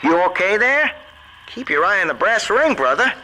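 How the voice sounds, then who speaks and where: A man's voice: sits high, sing-song, moderate pace. Slick shifty radio man for a game, super swoopy glib buddy talk, noisy background. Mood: glib, taunting. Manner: glib, theatrical, buddy-buddy patter. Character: slick, smug, shifty male radio newsman. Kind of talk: video game voice acting. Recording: noisy transmission with background ambience.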